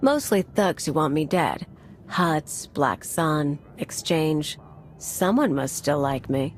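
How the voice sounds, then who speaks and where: A woman's voice: low voice, lively intonation, takes it slowly, a little hiss or hum. A woman playing a brash, sardonic mercenary in a video game cutscene, slangy and casual about violence. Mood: breezy.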